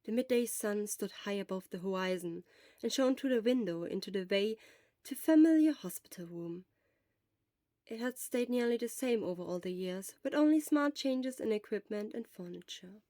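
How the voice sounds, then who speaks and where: Woman, story narrator, scripted audiobook-style reading, medium pitch, dry sound. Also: expressive, moderate pace, warm tone, no room sound. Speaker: podfic reader.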